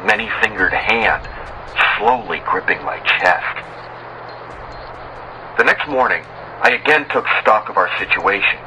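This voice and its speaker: A man reading a first-person horror story as dramatic scripted narration, in a measured, solemn, literary manner. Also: on the low side, bright, forceful tone, a little hiss or hum, rough recording.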